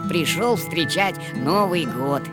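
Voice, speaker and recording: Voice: mid-range voice, animated, slow pace. An actor voicing a shy, polite young boy hedgehog in a cartoon, with a timid, courteous manner. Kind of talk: animated cartoon acting. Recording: loud music behind the voice.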